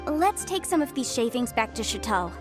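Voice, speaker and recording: An actress playing a confident young legal advisor in a game, brisk, self-assured and a little playful. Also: female voice, on the high side, very animated. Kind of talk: game voice acting. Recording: studio voice over game music.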